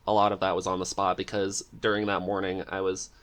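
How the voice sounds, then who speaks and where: A man's voice: deep voice, monotone, brisk, a bit bright. A man hosting a podcast in a casual, jokey chat style. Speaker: podcast host.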